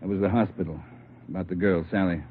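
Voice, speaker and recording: A man playing a brisk, commanding police chief in an old radio drama, gruff and clipped. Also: sits low, steady tone, lightly acted. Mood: grave. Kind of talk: 1950s radio drama acting. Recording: muffled, faintly noisy old radio recording.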